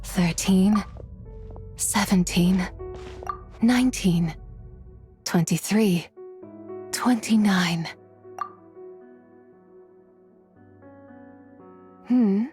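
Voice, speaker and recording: A gentle, mystical game spirit, ancient and lonely. She speaks very slowly at a middle pitch, dreamy and hesitant, over faint music. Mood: dreamy, pensive. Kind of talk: English video game voice acting. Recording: music under the voice.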